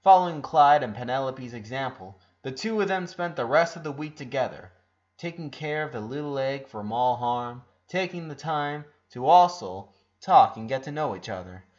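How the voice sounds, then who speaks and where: A man's voice: mid-range voice, expressive, normal speed, a little mellow. Man narrating a story, dull lo-fi sound. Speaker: narrator reading fan fiction aloud. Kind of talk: scripted narration, audiobook-style story reading. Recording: dull lo-fi recording.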